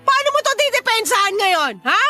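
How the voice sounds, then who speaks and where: A woman's voice: very high-pitched, big pitch swings, brisk, sharp tone, faint music behind, heavily acted. An actress with a Filipino accent playing an exasperated, confrontational woman neighbour in a radio drama. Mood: hurt, indignant. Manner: indignant, confrontational, demanding.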